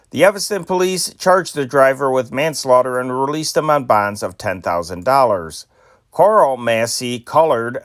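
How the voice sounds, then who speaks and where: A man's voice: medium pitch, dry recording, studio quality. A man reading old newspaper stories aloud in a plain amateur style, stumbling now and then over names. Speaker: newspaper reader.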